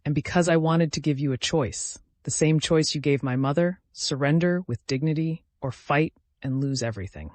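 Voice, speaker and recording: A man's voice: a little high, normal speed, few pauses, a little mellow, no echo, close to an everyday voice. Man reading as calculating vengeful woman, accusatory, menacing, bitterly controlled, audiobook drama, dry studio-like sound. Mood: controlled threat.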